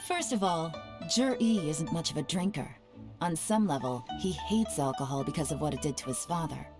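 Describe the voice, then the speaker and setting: A woman playing a cool-headed female spymaster who talks in a calm, measured way and gives orders. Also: sits low. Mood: reasoning. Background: game music.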